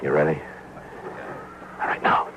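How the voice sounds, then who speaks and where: An American man playing a stern, wary frontier marshal in an old-time radio western, speaking in a gruff, guarded, laconic way. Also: a little slow, close to an everyday voice. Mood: urgent, commanding. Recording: muffled, noisy old radio broadcast recording.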